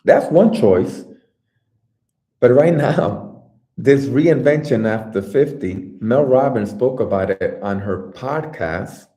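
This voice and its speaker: A man's voice: medium pitch, lively intonation, slow pace, dark tone, roomy sound. A man giving an earnest, encouraging motivational talk as a life coach, speaking directly to his listeners.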